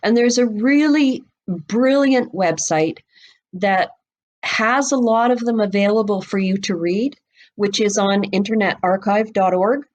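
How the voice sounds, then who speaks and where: Woman guest on a podcast explaining stuff, emphatic, mid-pitched voice. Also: unhurried. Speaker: guest expert and bookseller. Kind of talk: podcast interview, explaining literary history.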